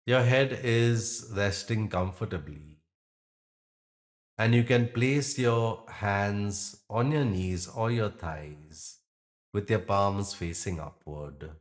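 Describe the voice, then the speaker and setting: Deep, very slow male meditation guide. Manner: gentle, unhurried instruction with long pauses. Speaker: yoga nidra teacher guiding a practice.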